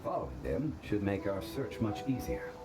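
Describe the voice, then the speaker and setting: A man voicing a composed, ancient male scholar in a video game, speaking in a measured, formal, explanatory way. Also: fairly low pitch, very animated. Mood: calm.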